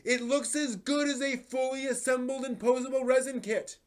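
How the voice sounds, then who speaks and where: A man's voice: very high-pitched, fairly even intonation, moderate pace, pitched above the performer's own voice. A man playing an indignant, aggrieved anime fan in a theatrical dramatic reading, drawing out words for emphasis. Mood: earnest pleading. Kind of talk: mock dramatic reading of a forum post.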